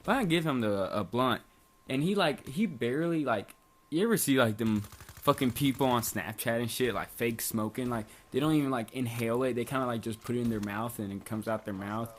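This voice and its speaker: A man's voice: medium pitch, fairly quick. A young man from Bosnia casually telling a rambling, profane story, full of "like" and swearing. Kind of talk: casual storytime over gameplay.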